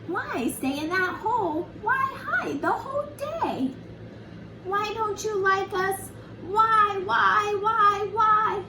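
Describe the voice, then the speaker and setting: A woman voicing a friendly, curious male bear from a children's storybook, calling out in drawn-out, sing-song words. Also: very high-pitched, lively intonation, heavily acted. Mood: curious, pleading. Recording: roomy, distant mic, faint background noise.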